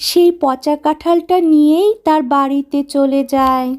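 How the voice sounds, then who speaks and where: A woman's voice: sits high, fairly even intonation, normal speed, a little mellow. A woman reading scripted narration for a children's cartoon story.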